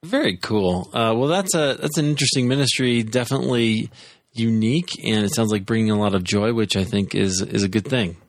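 A man's voice: fairly low pitch, fairly quick, dry recording, studio quality. A man hosting a podcast, reacting with short affirmations and asking questions. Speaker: podcast host. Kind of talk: conversational interview.

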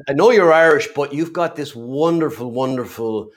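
A middle-aged man hosting an interview, talking casually with halting restarts and easy laughter. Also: on the high side. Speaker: podcast host.

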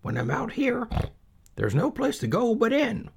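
Lonely whining piglet, done by a male narrator, high pitched. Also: wildly swooping pitch, unhurried, gravelly, slightly rough, exaggerated voice. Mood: bored whiny. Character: young bored male piglet, whiny.

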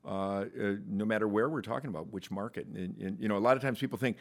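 A man's voice: lowish voice, fast. A man hosting a podcast interview, joking with his guest and talking in long rambling questions with many restarts. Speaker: podcast host.

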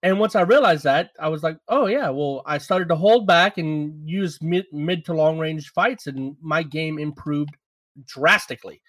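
A man's voice: on the high side, measured pace, fairly bright tone, no echo. A man with a slight lisp, co-hosting a casual gaming podcast, rambling and mocking himself. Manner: rambling, self-mocking, laughs often. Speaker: podcast co-host.

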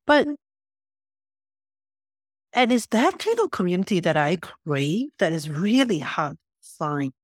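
Brief: woman podcast host from Singapore, lowish pitch, curious questioner, dry sound. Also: sing-song, takes it slowly, pauses often, no echo. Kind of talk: casual conversation.

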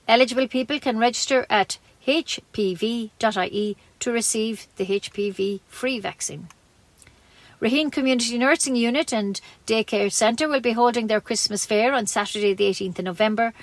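A woman's voice: on the high side, no room sound. An Irish woman reading scripted local news as a community radio newsreader.

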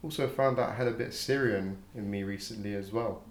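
Podcast guest: man, late twenties, lower voice, chatty and laughing, echoey room. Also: quick pace. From North London. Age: 20s. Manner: chatty, laughs often, many fillers. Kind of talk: casual chat.

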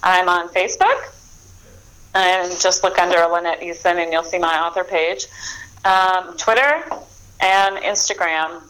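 A woman's voice: on the low side, steady tone, measured pace, a bit bright, poor audio. A woman in her forties, an author guest, talking in a rambling, easygoing way with many restarts. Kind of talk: casual interview chat.

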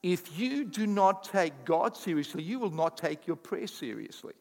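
A pastor delivering a Sunday sermon in a high voice, in English, which is his second language. He builds to emphatic rising bursts and drops into quick asides. The reverb trails off long, as in a church hall. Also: expressive, a little mellow. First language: not English.

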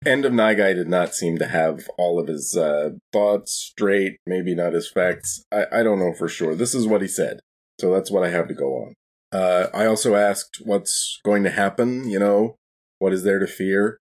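A man's voice: low voice, normal speed. A man playing a tabletop role-playing game, talking casually and a little hesitantly in character.

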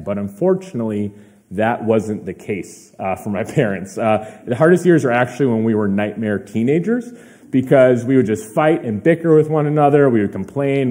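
A young man, slightly hoarse, giving a relaxed, chatty sermon as a pastor, with fillers and personal asides. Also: fairly low pitch.

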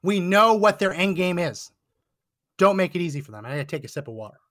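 Man, hoarse, losing his voice, high voice, indignant, emphatic, political podcast host. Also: big pitch swings, a little fast, fairly bright tone. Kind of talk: political commentary monologue. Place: Texas.

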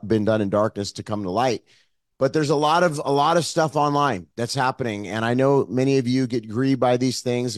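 A man with a hoarse, froggy voice, preaching an online Sunday sermon as pastor in an earnest, conversational way with frequent fillers. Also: mid-range voice, darkish tone, no room sound, studio quality.

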